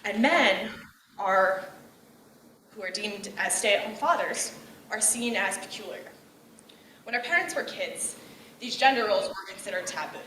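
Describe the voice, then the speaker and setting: Young woman giving a loud, outgoing talk. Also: medium pitch, far from the mic, slight background noise. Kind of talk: personal speech on gender roles. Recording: echoey room, voice from afar.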